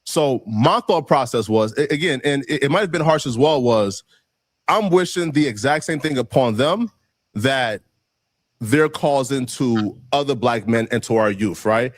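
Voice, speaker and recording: A man's voice: medium pitch, animated, a little slow, no echo. Rambling male guest, full of fillers. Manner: rambling, heavy fillers, restarts. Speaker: interview guest. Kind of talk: defensive interview answers.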